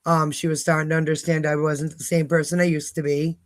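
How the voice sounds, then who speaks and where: Hoarse woman livestream host. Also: sits low, level tone, a little fast. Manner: rambling, many fillers, frequent laughs. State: hoarse, losing her voice. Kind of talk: candid personal talk about recovery. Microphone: phone.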